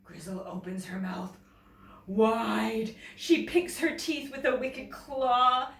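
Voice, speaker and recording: Children's story reader: woman from Canada, highish voice, unhurried and dramatic, in a roomy space with faint noise. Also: animated, creaky, fairly bright tone, distant mic. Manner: dramatic, deliberate, asks listeners questions. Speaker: teacher reading a children's story. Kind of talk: expressive storybook narration.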